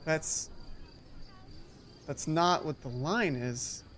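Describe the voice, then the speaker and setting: A woman's voice: deep voice, very slow, a little hiss or hum. A woman reading a game script aloud and breaking off into playful, casual asides as a let's play streamer. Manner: playful, self-interrupting asides. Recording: music playing behind voice. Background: video game music.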